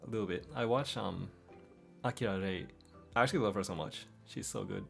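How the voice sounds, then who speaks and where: Young male streamer, low-pitched with vocal fry, mixed Aussie-American accent, laid-back chatter over soft music. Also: brisk. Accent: mixed Australian and American. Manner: relaxed, laughs often, trails off softly. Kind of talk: casual late-night chat reading comments. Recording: faint background music.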